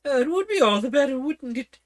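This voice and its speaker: A woman voicing a flustered, scatterbrained old queen in a storybook reading, her voice climbing into high fluttery shrieks. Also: animated, brisk.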